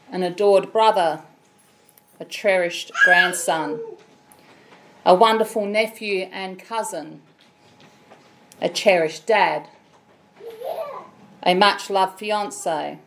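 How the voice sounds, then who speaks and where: An Australian woman with a loud voice, leading a funeral service as celebrant in a measured, solemn way. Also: on the low side, a little hiss or hum. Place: funeral chapel.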